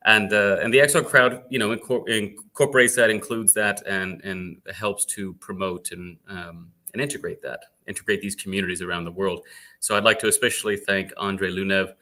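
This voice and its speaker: A man hosting an online fireside chat interview, talking haltingly and often repeating words as he introduces the guest. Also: fairly low pitch, steady tone, pushed and bright. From United States. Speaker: interview host.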